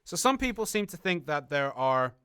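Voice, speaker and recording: A man's voice: a little high, big pitch swings, normal speed, sharp tone, clean tone. A man casually answering viewer questions about a game on a livestream, rambling through explanations with many ums and restarts. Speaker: community manager on a game stream.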